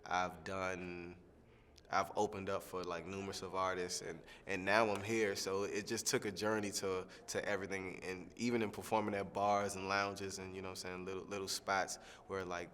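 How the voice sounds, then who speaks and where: Low flat voice, young guy chatting about his music, lots of um and you know, some room echo and faint background hum. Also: normal speed. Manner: relaxed, rambling, many fillers. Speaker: singing contestant. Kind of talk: press junket soundbite interview.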